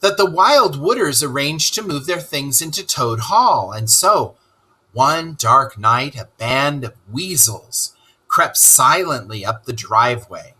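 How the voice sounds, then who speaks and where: A man narrating a children's story aloud in an expressive storytelling style. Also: mid-range voice, takes it slowly, slightly rough, poor audio.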